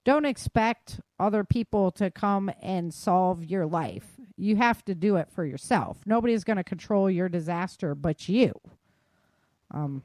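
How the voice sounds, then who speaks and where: A woman's voice: lowish voice, unhurried, darkish tone, dry recording. A woman hosting a casual talk podcast, explaining advice in an emphatic, colloquial way and often checking in with "right?". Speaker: podcast host.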